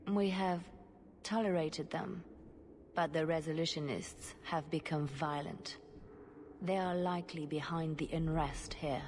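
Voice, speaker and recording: A secret agent of a holy order in a fantasy game. Her voice sits fairly low for a woman, composed and grave. She speaks with deliberate care over faint background noise. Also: fairly even intonation, darkish tone. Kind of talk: fantasy video game acting.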